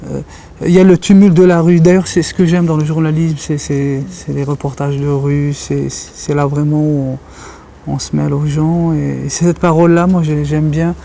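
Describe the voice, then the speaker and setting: Thoughtful man, mellow voice. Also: a little high, level tone, moderate pace, vocal fry, a little hiss or hum. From Algeria. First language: Kabyle. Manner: thoughtful, searching for words. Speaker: interviewee, writer and journalist. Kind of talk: reflective interview talk.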